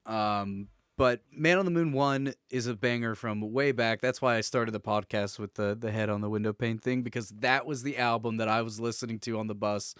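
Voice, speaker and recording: A man's voice: mid-range voice, normal speed, hardly any pauses, a bit bright, smooth, no echo, studio quality. A man in his twenties co-hosting a casual podcast, informal and slangy, often searching for his words. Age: 20s. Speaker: podcast co-host.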